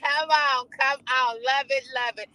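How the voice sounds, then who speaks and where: A woman's voice: high-pitched, fairly even intonation, unhurried, pushed and bright, no room sound, rough recording. A woman co-host in casual motivational chat, speaking emphatically and repeating phrases for effect. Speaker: talk show co-host.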